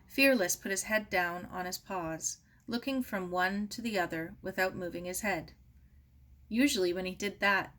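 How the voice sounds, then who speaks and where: A woman reading a children's novel aloud as expressive scripted narration. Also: lowish voice. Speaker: audiobook reader.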